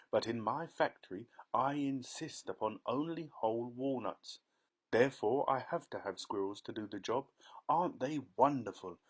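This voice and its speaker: A man voicing an excitable, eccentric male chocolatier who calls out loudly and triumphantly. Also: medium pitch, natural voice. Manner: loud, bright, triumphant exclamations.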